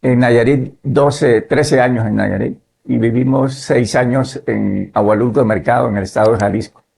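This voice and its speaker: Pastor guest in his fifties, from Nicaragua; gravelly, measured storyteller. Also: medium pitch, fairly even intonation, a little mellow, faint music behind. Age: 50s. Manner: measured, adds "¿verdad?" tags. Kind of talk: relaxed testimony in conversation.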